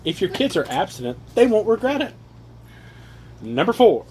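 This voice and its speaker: A young man with a fairly high voice reads aloud in a mock-earnest way and drops into joking, sweary chat. The recording is amateur, with some noise around him. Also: sing-song, normal speed, mellow. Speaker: reader among his brothers. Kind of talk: joking read-aloud with casual asides. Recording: noisy amateur home recording.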